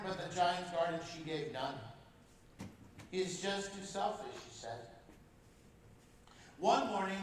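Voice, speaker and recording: A male guest speaker reads a storybook aloud to a congregation, expressive and unhurried. His voice is on the high side. It is heard from a distance across a large, echoing church. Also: a little hiss or hum. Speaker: guest speaker at a parish mission. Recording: echoey church heard from far off.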